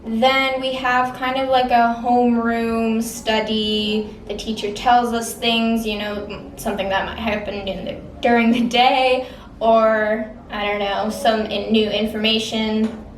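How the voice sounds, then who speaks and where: A woman's voice: a little high, flat delivery, a little slow, roomy sound, distant mic, a little hiss or hum. A sixteen-year-old girl from the Czech Republic, an exchange student answering interview questions casually with lots of "like" and "um". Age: teens. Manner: casual, many fillers like "like" and "um".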